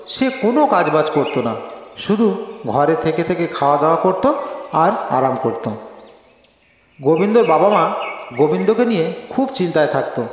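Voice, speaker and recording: A man narrating a scripted moral folk tale as a storyteller. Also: sits high. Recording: very echoey reverberant voice track. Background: faint music.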